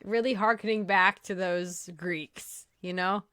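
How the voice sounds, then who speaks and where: A woman's voice: medium pitch, steady tone, a little slow, pushed and bright, clean tone, no room sound, studio quality. A woman in her late twenties, co-hosting a casual chat with playful, sarcastic jokes. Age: late 20s. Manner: playful, joking, sarcastic asides. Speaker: podcast co-host.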